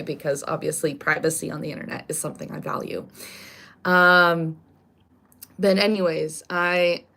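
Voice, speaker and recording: A woman talks casually to her live stream chat, her voice raspy and stuffed up from a lingering cold. She sits a little low in pitch and wanders from thought to thought, backtracking and correcting herself. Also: pushed and bright. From Canada. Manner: rambling and self-correcting. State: congested from a cold.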